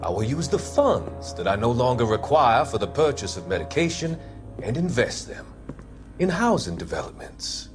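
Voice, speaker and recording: Man acting a smooth, formal, calculating doctor, lively intonation. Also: medium pitch, soft background music, close to an everyday voice. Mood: smooth persuasion. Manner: measured, formal, wordy and patronizing. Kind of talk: video game dramatic acting.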